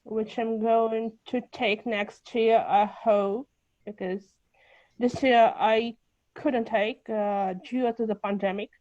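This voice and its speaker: A Russian-accented woman introduces herself on a video call, pausing and restarting as she searches for words. Her voice sits a little high. The audio is dry and muffled, like a computer microphone. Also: steady tone, darkish tone, no echo. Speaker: English teacher.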